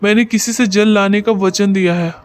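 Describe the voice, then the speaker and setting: Guy playing a poor honest blacksmith in a cartoon tale, high clean voice, super polite and sincere. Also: steady tone, normal speed, natural voice. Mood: determined. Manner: polite, earnest. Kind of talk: cartoon moral story voice acting.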